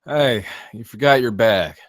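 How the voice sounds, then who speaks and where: A man's voice: mid-range voice, a little slow, a bit bright, dry recording, clean studio sound, natural voice. A man playing a shy, awkward, reluctant guest in a screenplay table read, hesitant and polite.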